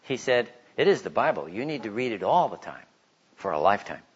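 A man giving informal religious teaching, telling stories and reading aloud in an animated way. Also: lowish voice, a little fast, breathy edge. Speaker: Bible teacher giving a talk. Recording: slightly dull, lo-fi recording.

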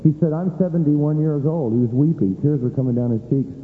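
A man's voice: on the high side, fairly quick. A man preaching a sermon with emphatic rhetorical questions, recorded in a church. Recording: echoey hall on a tinny line.

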